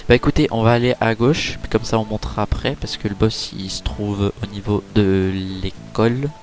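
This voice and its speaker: A man giving casual, chatty let's-play commentary over a game, full of fillers and quick reactions. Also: lowish voice, steady tone. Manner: chatty, filler-heavy, easily impressed. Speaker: gaming YouTuber. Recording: game music playing behind.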